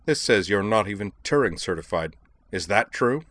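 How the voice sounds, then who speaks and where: A stern male professor, as a male narrator plays him, with a low voice and a dry, close sound. He is cold and scornful, speaking in cutting, formal phrases as in a storybook reading. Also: expressive, normal speed, fairly bright tone, no room sound. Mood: cold, clipped. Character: cold, harsh, indignant male literature professor, scornful and commanding.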